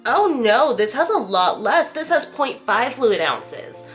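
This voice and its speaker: A woman's voice: on the high side, sing-song, takes it slowly, fairly bright tone. A young woman talks through a makeup review in a loose, chatty way, leaning on "like" as she goes. Her voice is stuffy and nasal from a cold, and faint music plays behind her. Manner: chatty, lots of "like" fillers. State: congested and nasal from the flu. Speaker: beauty vlogger. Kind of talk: casual product review.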